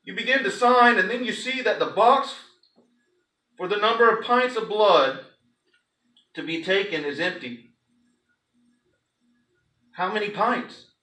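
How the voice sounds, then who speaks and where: A man's voice: sits high, unhurried, long pauses, pushed and bright. A man, a pastor, giving a theatrical, emphatic dramatic reading of a story to a congregation. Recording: echoey room, distant microphone.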